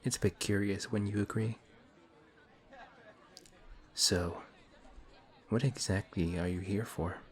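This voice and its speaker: A man voicing a suave male villain who teases a hero in an audio roleplay, slow and taunting. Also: lowish voice, fairly even intonation, a faint crowd. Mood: smug. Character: smug suave male villain, teasing and calm. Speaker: voice actor.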